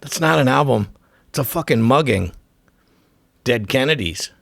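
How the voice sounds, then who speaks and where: A man's voice: mid-range voice, lively intonation, moderate pace, vocal fry, a bit bright, dry recording, studio quality. A man narrating a scripted music documentary in short punchy phrases, with an irreverent, profane edge.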